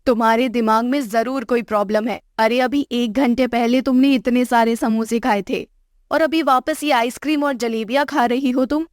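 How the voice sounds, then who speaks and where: A woman's voice: on the high side, steady tone, moderate pace, no echo, clean studio sound, lightly acted. A woman voicing a haughty, scolding middle-aged mother-in-law in a cartoon moral story. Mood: angry nagging. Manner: haughty, nagging, insulting.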